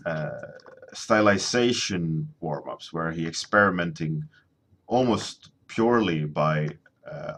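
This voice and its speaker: A man's voice: sits low, a bit bright. A man in his thirties, a native Swedish speaker, chatting casually on a painting livestream from his home studio, rambling and restarting his sentences.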